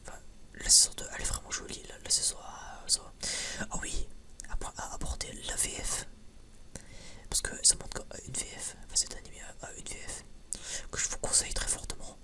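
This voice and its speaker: Soft whisper, breathy and unvoiced, ASMR chat, informal, hesitant, rambling. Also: brisk. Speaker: ASMR video creator.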